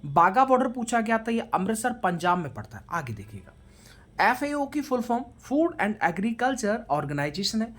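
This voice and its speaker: A woman's voice: a little high, very animated, moderate pace. A woman giving a question-and-answer revision lecture as a coaching teacher, addressing students directly and mixing in English terms.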